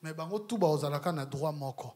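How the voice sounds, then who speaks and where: A man in his thirties speaks into a handheld mic from a panel table in a hall lined with bookshelves. His voice sits high, and his answers ramble. It drops to a murmur, then swells again. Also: fast talker, a little mellow, slight background noise. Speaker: project representative at a press conference. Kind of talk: answering questions, mixing French and Lingala.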